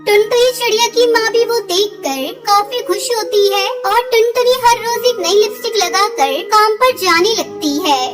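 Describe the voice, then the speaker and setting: A woman's voice: very high-pitched, moderate pace, hardly any pauses, loud music behind the voice. A woman narrating a scripted children's story.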